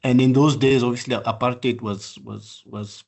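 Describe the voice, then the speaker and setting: An older man from southern Africa gives a lecture on his work. He speaks in a measured, halting way, pausing often on fillers and starting phrases over. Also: medium pitch, fairly even intonation, gravelly, a little mellow. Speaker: architect lecturer. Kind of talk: online practice lecture.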